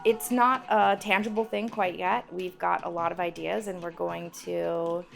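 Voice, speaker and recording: A woman's voice: on the low side, level tone, unhurried, creaky. A woman, a music professor, speaking confidently about a project in an interview. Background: faint music.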